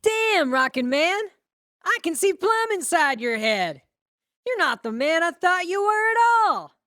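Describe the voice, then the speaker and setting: A woman voicing a vengeful, gleefully cruel boy in an audiobook, with a taunting, drawn-out delivery. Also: very high voice, sing-song, a bit bright, no room sound, studio quality, full character voice. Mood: gleeful mockery. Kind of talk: audiobook character acting.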